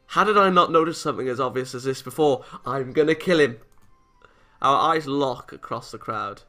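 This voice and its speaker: Bright-voiced man reading a visual novel aloud. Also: medium pitch. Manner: animated, exasperated outbursts. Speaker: let's play host.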